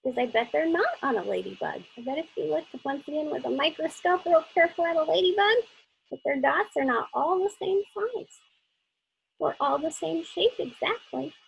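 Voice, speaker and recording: A woman with a high, creaky voice talks young children through a craft. She keeps it casual and chatty, encouraging them as she gives simple step-by-step directions. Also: lively intonation, normal speed, highs cut off. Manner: asks the listener simple questions.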